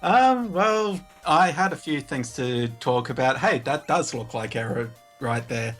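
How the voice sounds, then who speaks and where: A man with a medium-pitched voice calls in to chat. He speaks informally as a guest on a show, with soft music in the background. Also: animated, a little slow. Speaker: call-in guest. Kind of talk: casual chat.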